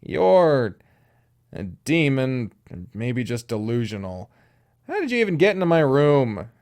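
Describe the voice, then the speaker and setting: A man's voice: mid-range voice, very animated, measured pace, darkish tone. A man doing a blunt, grumpy young mercenary. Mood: grumbling. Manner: blunt, swears.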